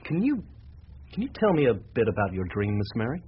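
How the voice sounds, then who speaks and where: An actor playing an eager, persistent young small-town reporter in a radio drama, polite and a little hesitant. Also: male voice, mid-range voice, wildly swooping pitch, brisk. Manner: hesitant, polite, then pleading. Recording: slightly muffled, faintly noisy radio recording.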